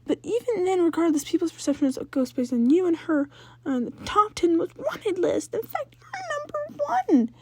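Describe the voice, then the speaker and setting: A woman's voice: very high voice, sing-song, moderate pace, dry recording, pitched above the performer's own voice. A woman voicing a worried, exasperated teenage older sister in a fan fiction reading, emphatic and scolding.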